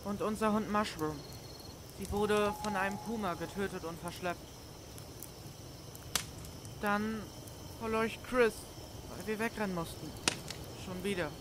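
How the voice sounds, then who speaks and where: Man acting a high-voiced earnest little boy, crickets behind. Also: a little slow, long pauses, background noise. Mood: sorrowful. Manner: earnest, vulnerable. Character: sad, earnest young boy missing his friends and dad. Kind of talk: German fan dub of a video game scene. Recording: night crickets and outdoor ambience behind.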